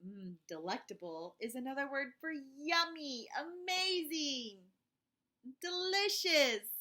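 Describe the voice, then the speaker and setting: A woman reading a picture book aloud to children in an expressive, teacherly way, pausing to explain words and ask questions. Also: high-pitched. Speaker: read-aloud storyteller for children. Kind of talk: expressive picture-book read-aloud with asides to young listeners.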